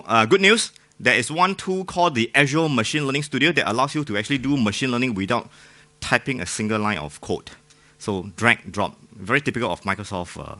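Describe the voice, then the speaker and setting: Bright-voiced Singaporean man presenting. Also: medium pitch. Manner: joking, informal, often asks the audience questions. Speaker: conference speaker. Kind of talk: technical talk to a live audience.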